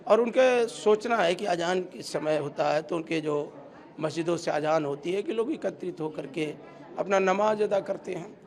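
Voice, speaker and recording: A male politician lays out his position for the press in deliberate short phrases. His voice is high in pitch with a clearly gravelly creak. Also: a little mellow. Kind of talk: explanatory press interview.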